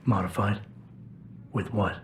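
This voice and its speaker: An actor playing a stoic security agent in a video game, speaking in a restrained, terse and deliberate way. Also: male voice, fairly low pitch, fairly even intonation, a bit bright, slightly rough, full character voice. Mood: guarded, businesslike. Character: stoic gravelly male security agent investigating a cover-up. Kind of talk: video game cutscene acting.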